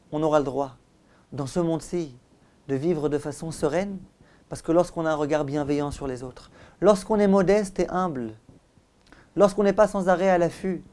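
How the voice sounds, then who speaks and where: A man delivers a Torah lesson as a rabbi, in a very mellow, relaxed tone. He stresses his points by repeating phrases. He speaks straight to his listeners and often puts rhetorical questions to them. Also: fairly high pitch. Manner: emphatic, repeats phrases for effect. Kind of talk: religious lecture.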